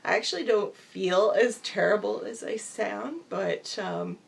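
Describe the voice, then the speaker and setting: A woman vlogger with a bad cold, her voice hoarse and gravelly and dropping into whispers, chatting upbeat with many fillers. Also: mid-range voice, unhurried. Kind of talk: casual chat to camera.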